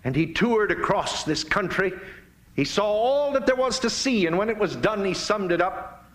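An American man preaching in a dramatic, deliberate oratorical style, with emphatic swells, long pauses and hushed phrase endings. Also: high voice, animated. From United States. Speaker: pastor, guest speaker. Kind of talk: fiery sermon-like political speech to a live audience.

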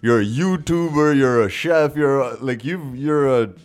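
A man's voice: medium pitch, unhurried. A man hosting a casual chat, speaking in an affirming, slangy way. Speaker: podcast host, interviewer.

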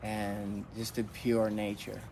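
A man's voice: fairly low pitch, monotone, slow, background noise. A middle-aged father, raised in Philadelphia and Puerto Rico, recalling his life hesitantly with many ums and restarts. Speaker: interviewee, father. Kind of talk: personal storytelling.

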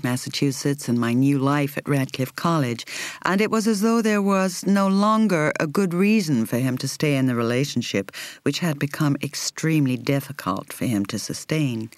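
A woman reading a first-person novel as an audiobook narrator, in a measured, reflective, carefully articulated way. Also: sits low, darkish tone, dry recording.

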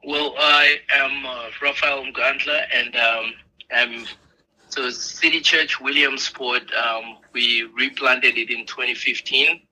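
A pastor from Zimbabwe, calling in remotely as a guest, speaking in a halting way with many fillers. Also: male voice, medium pitch, flat delivery, sharp tone, low-quality recording. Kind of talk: casual interview conversation. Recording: remote call-in audio.

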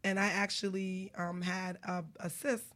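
A woman's voice: mid-range voice, level tone, a little slow, a bit bright. A woman radio host talks in a casual, candid manner. She interviews in an informal, chatty style, as if in conversation with people she knows.

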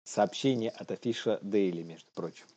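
A man's voice: on the low side, moderate pace, dark tone, lo-fi sound. A young man co-hosting a casual news chat in an informal, slangy manner, often chuckling as he talks. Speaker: podcast co-host.

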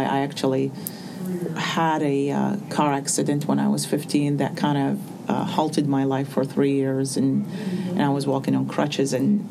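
A woman, speaking as an artist in an interview and pausing often with fillers. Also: a bass voice, gravelly, background noise. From Iraq. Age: 40s. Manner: hesitant, with many pauses and fillers. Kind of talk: reflective interview answers. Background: soft music underneath.

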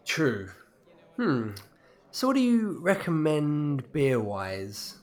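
A man's voice: fairly high pitch, wildly swooping pitch, slow pace, a little mellow, heavily acted. An actor playing a polite, curious semi-retired man new to a village, hesitant and inquisitive, in audio drama dialogue. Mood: hesitant, probing.

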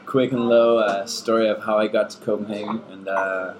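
A man in his twenties whose first language is German, answering interview questions in a casual, measured way and often repeating words while he thinks. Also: fairly low pitch, flat delivery, background noise. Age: 20s. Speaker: podcast guest, fitness coach. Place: busy bar. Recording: bar crowd chatter behind.

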